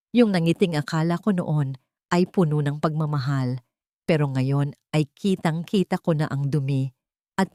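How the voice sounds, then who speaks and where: A woman's voice: deep voice, dark tone, no room sound, clean studio sound. A woman reading a scripted first-person story in a dramatic narrating style.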